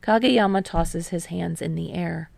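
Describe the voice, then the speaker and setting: A woman's voice: fairly low pitch, unhurried, vocal fry, darkish tone, dry recording. A woman reading scripted fiction aloud as a story narrator.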